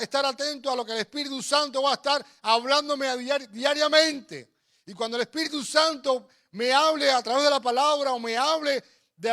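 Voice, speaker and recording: High-pitched man preaching. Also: a bit bright. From Cuba. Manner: emphatic, exhorting, with repeated phrases. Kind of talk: sermon with personal storytelling.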